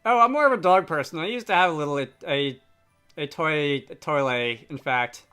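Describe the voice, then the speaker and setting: A man voicing an earnest, gentle young princess in a fantasy game, speaking politely and sincerely. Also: fairly high pitch, moderate pace, smooth, soft background music. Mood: fond.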